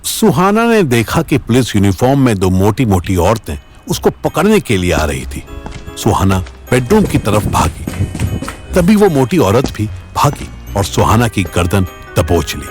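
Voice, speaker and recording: A man's voice: lowish voice, huge pitch swings, a little slow, darkish tone. A man narrating a scripted audio drama in a dramatic storytelling style. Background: faint background music.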